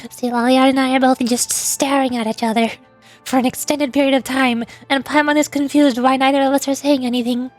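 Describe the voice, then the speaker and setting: A woman's voice: high voice, flat delivery, fairly quick, soft background music. A woman VTuber giving playful game commentary and reading on-screen text aloud. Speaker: VTuber streamer.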